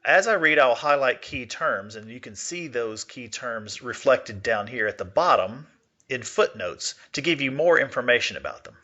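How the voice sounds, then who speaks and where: A man's voice: medium pitch, animated, moderate pace, sharp tone. A man reading aloud expressively in the manner of a teacher giving a literature lesson, on a slightly dull recording.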